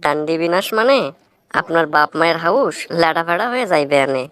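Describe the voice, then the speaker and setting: A woman's voice: low-pitched, animated, fairly quick, noisy background. Woman voicing a rustic young Barisal village man. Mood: admonishing. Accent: Barisal Bangla. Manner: rustic, talkative, bluntly moralizing. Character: earnest, plain-spoken young village man, scholarship student. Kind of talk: cartoon comedy dialogue.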